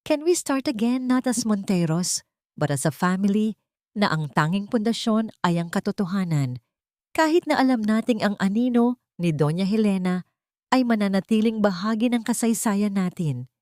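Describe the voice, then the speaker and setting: A woman voicing a gentle, hopeful wife in an audio drama, soft and sincere. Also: medium pitch, expressive, normal speed, no room sound, clean studio sound, voice pushed up, lightly acted. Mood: hopeful, questioning. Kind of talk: dramatic audio story dialogue.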